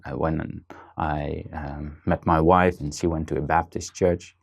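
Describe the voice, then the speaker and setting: Man, Dutch accent, very low voice, gravelly, hesitant with lots of ums and restarts. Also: level tone, a little slow. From Netherlands. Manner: hesitant, many fillers and restarts. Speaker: guest. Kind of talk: casual interview talk.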